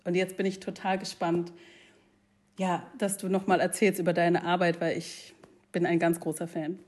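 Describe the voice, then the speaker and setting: A woman in her forties talking reflectively, often pausing with fillers as she searches for words and mixing in English phrases. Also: fairly low pitch, little pitch movement, a little mellow. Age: 40s. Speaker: guest. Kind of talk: casual conversation.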